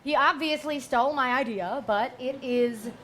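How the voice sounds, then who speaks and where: A woman's voice: sits high, normal speed, fairly bright tone, a little hiss or hum. A woman playing a blunt, grudging starship officer in a stage musical parody. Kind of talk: musical parody stage acting and backup singing.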